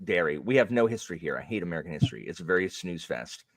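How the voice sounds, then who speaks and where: A man hosting a livestream, chatting casually in a lively, rambling way. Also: sits low, racing, husky, no room sound. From Canada. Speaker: streamer host.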